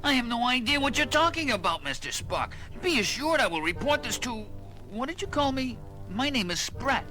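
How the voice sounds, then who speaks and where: A man's voice: very high-pitched, sing-song, normal speed, sharp tone, soft background music, exaggerated voice. A man playing a fussy, self-satisfied eccentric inventor villain in a science fiction audio drama, prim and theatrical. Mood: protesting.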